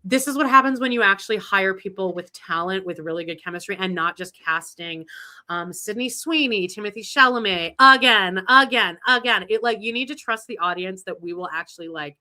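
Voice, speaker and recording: A woman's voice: medium pitch, brisk, sharp tone. A woman co-hosting a podcast in casual pop-culture chat, rambling and enthusiastic with lots of "like" and restarts. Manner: rambling, heavy use of "like", frequent restarts; chatty and enthusiastic. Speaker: podcast co-host.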